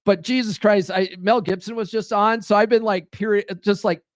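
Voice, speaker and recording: A man's voice: sits high, steady tone, normal speed, dry recording, studio quality. A man hosting a podcast, talking loosely and profanely, full of "right?" and "like" fillers. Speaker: podcast host. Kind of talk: casual live-stream monologue.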